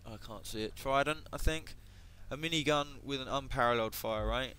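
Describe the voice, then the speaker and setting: A man talks through a game's gear, his voice a little croaky and his speech full of ums and restarts. He sits at a home computer mic, and a faint hum of room noise sits under him. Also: mid-range voice, a little fast, sharp tone. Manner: hesitant, many ums and self-corrections. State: voice going croaky. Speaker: gaming video creator. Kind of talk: casual gameplay commentary.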